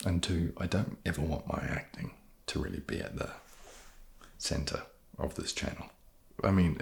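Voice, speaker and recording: Very deep, creaky, soft-spoken man. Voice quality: low, gravelly, soft-spoken. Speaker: ASMR video creator and host. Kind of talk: soft-spoken ASMR question-and-answer chat.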